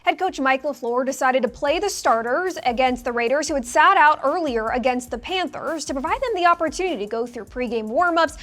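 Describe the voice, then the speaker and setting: A woman with a high voice presents sports news. She reads scripted narration as a show host. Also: animated, fairly quick, hardly any pauses, a bit bright.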